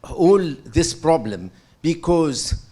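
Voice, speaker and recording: A man's voice: a little high, sing-song, slow pace, warm tone. Hesitant middle-aged man asking a question. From Syria. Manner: hesitant, many fillers and restarts, earnest. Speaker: audience member asking a question. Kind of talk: halting question from the floor.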